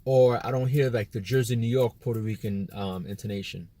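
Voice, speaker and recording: A man's voice: fairly low pitch, brisk, dark tone. An American man hosting a video, talking in a loose, rambling monologue and often repeating himself. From United States. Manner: rambling, repeats words, quotes imagined chats. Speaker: video host. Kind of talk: casual monologue to camera.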